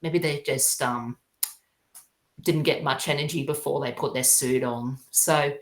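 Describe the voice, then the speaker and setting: Low voiced woman on a podcast, kinda hesitant, repeats herself, echoey room. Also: fairly even intonation. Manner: hesitant, halting, often repeats phrases. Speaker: podcast guest. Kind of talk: casual chat. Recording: roomy, echoey sound.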